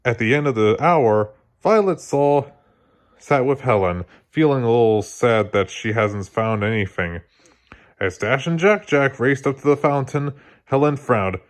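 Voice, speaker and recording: A man narrating a children's story aloud in an expressive read-along style. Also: medium pitch, unhurried. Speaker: storybook reader.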